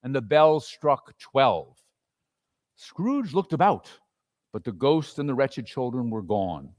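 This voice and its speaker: A man giving an expressive, theatrical dramatic reading of a story as narrator. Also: medium pitch, slow. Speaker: dramatic reader.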